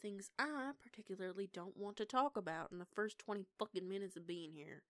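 A woman's voice: medium pitch, a bit bright, no echo, studio quality, lightly acted. A young woman playing a defiant eighteen-year-old punk lone-wolf werewolf in an audio roleplay, with a casual, sweary, sarcastic manner. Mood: irritated, defensive. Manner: sweary, casual, sarcastic, halting when flustered. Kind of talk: intimate one-sided audio roleplay acting.

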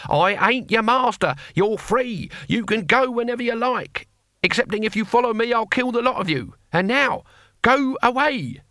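A scrawny old barbarian warrior for an audiobook. The voice is pitched up high, cackling and sardonic. He speaks bluntly in rough, colloquial words, recorded dry and close. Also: very animated, unhurried, sharp tone, dry recording, heavily acted. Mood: exasperated, threatening. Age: older. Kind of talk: audiobook character voice.

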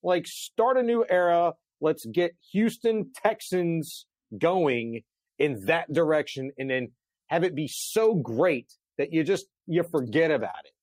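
A man's voice: on the high side, measured pace, a little mellow, no room sound. A man in his forties co-hosting a sports show, chatting in an emphatic, colloquial, ranting way. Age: 40s. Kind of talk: excited sports talk chat.

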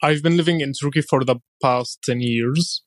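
A man's voice: mid-range voice, normal speed, no echo, studio quality. A seventeen-year-old man from Syria, an Arabic speaker, answering interview questions conversationally. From Aleppo, Syria. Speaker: podcast guest, student.

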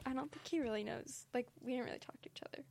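A woman's voice: high-pitched, quick pace, gravelly, a little mellow. A young woman chatting casually as a podcast co-host. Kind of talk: casual chat.

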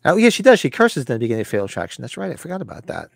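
A man's voice: mid-range voice, wildly swooping pitch, very fast, no echo, studio quality. Man with a scratchy, fading voice. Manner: rambling, upbeat, clears his throat. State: scratchy, losing his voice. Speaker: podcast co-host. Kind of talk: casual chat about horror collectibles.

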